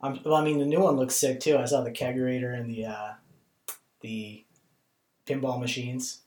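A man's voice: medium pitch, little pitch movement, moderate pace, a little mellow. A man hosting a podcast, talking in a casual, slangy, laid-back way. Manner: laid-back, slangy, calls people bro. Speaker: podcast host. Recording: roomy echo.

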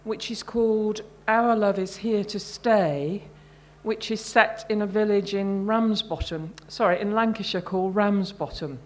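Slow-paced woman reading her story. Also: medium pitch, darkish tone. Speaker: author reading from own short stories. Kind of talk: live literary reading.

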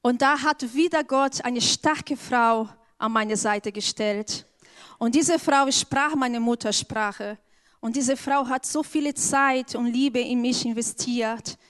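A woman from Brazil with a Brazilian accent, not speaking her first language, giving an earnest personal testimony in church. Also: high-pitched. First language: Portuguese. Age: adult with an eleven-year-old daughter. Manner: slow, earnest, careful phrasing.

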